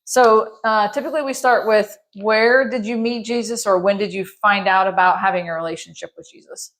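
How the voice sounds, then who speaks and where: A woman's voice: medium pitch, fairly even intonation, moderate pace, a bit bright. A woman hosting a podcast, asking her guest questions and giving short, encouraging replies. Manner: brief, encouraging responses. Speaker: podcast host. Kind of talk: interviewing.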